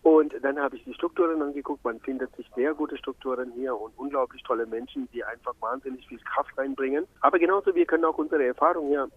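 A husky man from Sri Lanka talks earnestly and at length as a visiting doctor, often restarting his sentences. His voice sits at a middling pitch and comes through a dry, tinny phone-like line. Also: quick pace, hardly any pauses, no room sound. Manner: earnest, rambling, with many restarts. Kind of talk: interview answer.

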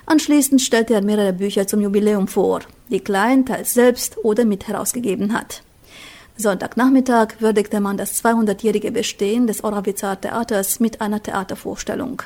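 A woman narrating a scripted radio news report in an even, measured read. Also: mid-range voice.

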